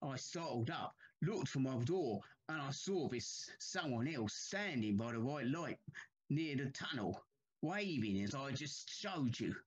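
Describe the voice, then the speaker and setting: A man playing a troubled railway signalman in an audio drama, haunted and deferential, speaking haltingly. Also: mid-range voice, lively intonation, breathy edge, poor audio. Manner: slow, halting, deferential, uneasy.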